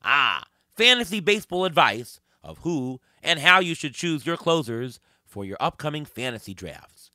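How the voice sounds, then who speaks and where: A slightly hoarse man hosting a casual sports podcast. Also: a little high, big pitch swings, normal speed, bright, forceful tone, no echo, clean studio sound. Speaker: podcast host. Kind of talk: casual sports talk with a co-host and guest.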